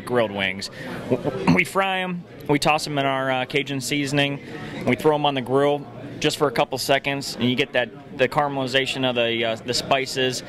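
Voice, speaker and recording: A man in his thirties from a restaurant answering interview questions in a pub, friendly and hesitant with many fillers. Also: mid-range voice. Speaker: restaurant staff. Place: pub dining room, indoors. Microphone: host's handheld mic. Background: crowd chatter.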